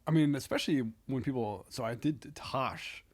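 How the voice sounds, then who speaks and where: A man talking casually as a comedian guest on a podcast, halting and self-deprecating. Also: mid-range voice.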